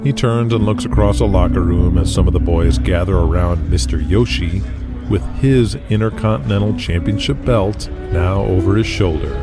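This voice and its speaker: Deep guy narrating an audio drama, loud music behind him. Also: moderate pace.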